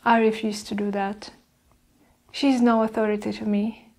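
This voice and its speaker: A young woman playing a cold, scheming evil nurse in an improvised ASMR roleplay, soft-spoken and deliberate, often slipping into whispers. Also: mid-range voice, level tone, lightly acted. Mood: cold, defensive. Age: young adult.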